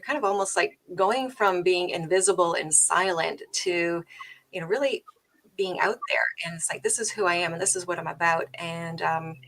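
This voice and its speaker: A woman's voice: on the low side, fairly bright tone, poor audio. A woman divorce coach on a video call, speaking earnestly and a bit rambling as an author guest.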